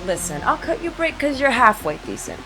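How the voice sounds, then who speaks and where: A woman's voice: sits high, very animated, a little fast, over music. A woman playing a tough, sardonic female bounty hunter in an audio drama, blunt and teasing. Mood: mocking, flirty.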